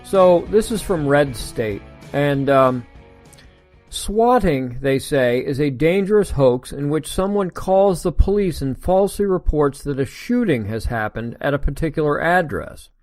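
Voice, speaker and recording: A man's voice: fairly high pitch, lively intonation, a little slow, a little mellow, no echo, clean studio sound, soft background music. An American man hosting a podcast, reading an article aloud and breaking off into sarcastic, indignant asides. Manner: sarcastic, indignant asides between read passages. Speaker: podcast host. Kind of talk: casual solo commentary reading an article.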